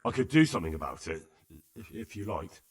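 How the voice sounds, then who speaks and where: An actor playing an earnest, polite young blacksmith who is eager to help, somewhat tentative, in a video game drama. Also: male voice, low-pitched, big pitch swings, a little fast. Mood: concerned, helpful.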